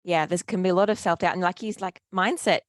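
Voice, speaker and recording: A woman's voice: on the low side, fast talker, no room sound, studio quality. A woman hosting a podcast, chatty and hesitant, with many fillers and repeats. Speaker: podcast host. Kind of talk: casual interview chat.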